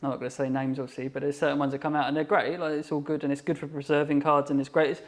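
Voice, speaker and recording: A man in his thirties talks quickly, on a narrow, level pitch. He rambles in a relaxed guest chat and leans heavily on fillers, pressing ahead fast with one thought after another. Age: 30s. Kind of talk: casual chat.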